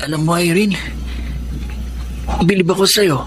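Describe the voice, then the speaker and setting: Teasing young filipino son, radio drama, kinda high voice, bit of background noise. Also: male voice, steady tone, a little slow. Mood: warm, teasing. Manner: light, admiring, teasing. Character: polite, good-natured young son and older brother. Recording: faint background ambience.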